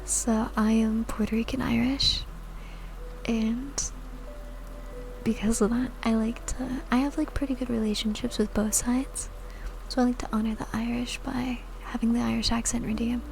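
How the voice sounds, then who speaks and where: A woman streamer whispering soft, giggly ASMR chat to her viewers into a sensitive mic. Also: a little high, level tone, normal speed, gravelly, faint music behind. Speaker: VTuber streamer.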